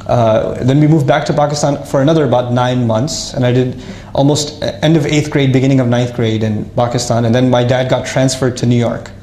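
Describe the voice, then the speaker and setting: A man casually telling his life story to an audience, lively and self-mocking, with frequent restarts. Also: mid-range voice, a little fast, few pauses, echoey room, a little hiss or hum.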